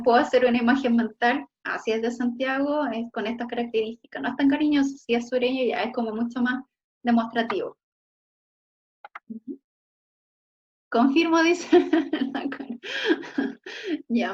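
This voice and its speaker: A woman's voice: a little high, dull audio, a little hiss or hum. A Chilean woman teaching an online class, checking in with her students often and praising their examples. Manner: frequent checking "¿ya?" tags, encouraging.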